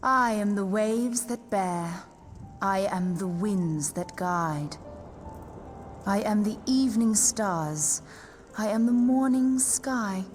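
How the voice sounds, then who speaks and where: Slow, mysterious female fantasy investigator, played by an actress. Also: mid-range voice, lightly acted. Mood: solemn. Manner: formal archaic diction, measured and pensive. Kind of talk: fantasy game cutscene acting. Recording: music under the voice.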